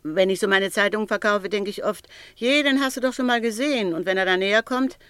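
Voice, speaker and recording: Actress as a gossipy shopkeeper, medium pitch. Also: female voice, brisk, a bit bright, smooth, no echo, close to an everyday voice. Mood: knowing unease. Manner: chatty, knowing, insinuating. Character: gossipy female newspaper seller, uneasy busybody. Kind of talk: German radio drama acting.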